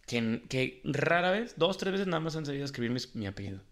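A young adult man, co-hosting a casual podcast chat, animated and quick to laugh. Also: a little high. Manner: animated, acts out quoted voices, laughs often. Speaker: podcast co-host.

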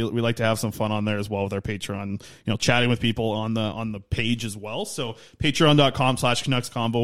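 A man hosting a podcast, rambling eagerly in casual chat. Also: medium pitch. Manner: fast, eager, rambling plugs. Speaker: podcast host.

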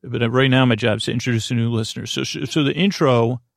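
A man hosting a sleep podcast in a creaky voice, giving a gentle, reassuring monologue that meanders haltingly from one tangent to another. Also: mid-range voice, steady tone, dry recording, studio quality. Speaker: podcast host.

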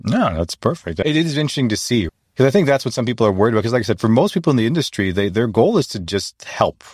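A man's voice: mid-range voice, very animated, fast, a little mellow, slightly rough, dry recording, clean studio sound. A man hosting an interview, agreeing often and asking loose, rambling questions. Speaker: podcast host. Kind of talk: casual interview conversation.

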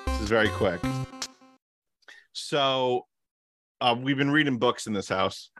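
A man's voice: mid-range voice, unhurried, bright, forceful tone, dry recording, faint music behind. A man co-hosting a casual podcast, blunt and mock-outraged, griping and swearing. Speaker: podcast co-host.

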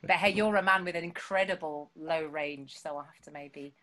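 Chatty woman, low voice. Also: moderate pace, bright, forceful tone. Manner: chatty, self-deprecating, many fillers. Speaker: songwriter guest. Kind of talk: casual chat. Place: home.

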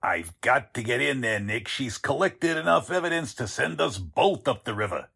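A man's voice: mid-range voice, sing-song, normal speed, sharp tone, full character voice. An actor playing a scheming, pompous con man who poses as a count, in 1940s radio drama style. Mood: menacing, determined. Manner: suave affected courtesy switching to gruff bossiness.

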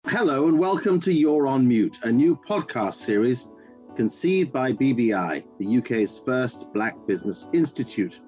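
Older man, podcast host, lively pitch, formal and measured, dull lo-fi sound with faint music underneath. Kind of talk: podcast interview introduction.

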